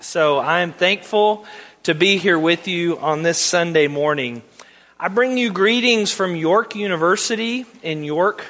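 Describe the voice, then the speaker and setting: A higher-pitched man with a Southern accent preaches a Sunday sermon. His delivery is folksy and conversational, climbing into emphatic stretches. The voice rings out with a long church-hall reverb. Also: bright, forceful tone. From Tennessee. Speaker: guest preacher, Bible department head. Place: church sanctuary.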